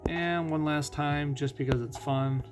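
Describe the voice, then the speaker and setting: A man giving casual game commentary as host of a playthrough, thinking out loud and putting questions to himself. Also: a little high, steady tone, unhurried. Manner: thinks out loud, asking and answering own questions. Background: music.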